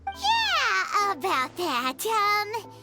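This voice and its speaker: A woman's voice: very high voice, huge pitch swings, very slow, sharp tone, heavily acted. A woman voicing a tiny, chirpy, childlike companion creature in a video game. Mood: hesitant, chirpy. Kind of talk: scripted video game voice acting. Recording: music behind the voice.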